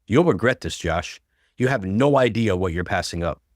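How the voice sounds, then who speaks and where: A man's voice: on the low side, animated, normal speed, no echo, clean studio sound, natural voice. A man voicing a scheming, seductive woman who pleads melodramatically in a story reading. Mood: bitter.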